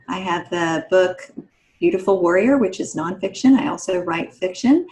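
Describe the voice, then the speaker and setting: A woman's voice: mid-range voice, darkish tone, poor audio. A woman speaking conversationally as an author on a podcast panel.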